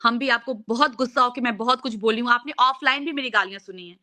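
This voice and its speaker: A woman's voice: on the high side, fast, bright, forceful tone. A young woman speaking in an earnest, insistent, repetitive way, as a host pleading with someone in a casual live chat.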